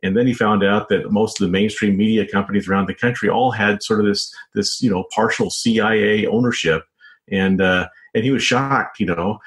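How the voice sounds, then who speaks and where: A man with a low voice talks as a guest author in an interview. He explains things at length in a conversational way, stumbling a little and padding his sentences with "you know" and "sort of.". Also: fairly even intonation, moderate pace. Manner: hesitant, wordy, frequent "you know" and "sort of". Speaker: guest, investigative journalist and author.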